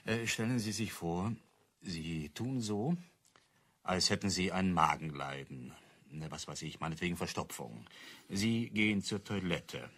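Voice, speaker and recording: Hesitant, eager hobby detective in crime radio play, deep rasping voice, halting delivery. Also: male voice, animated, fairly bright tone, heavily acted. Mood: eager theorising. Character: eager, hesitant amateur detective at card table. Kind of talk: German radio crime drama dialogue.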